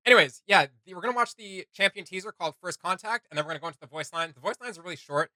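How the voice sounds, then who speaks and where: A man hosting a casual reaction chat, talking in an animated way with quick quips. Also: high voice, no echo, low-quality recording. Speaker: host.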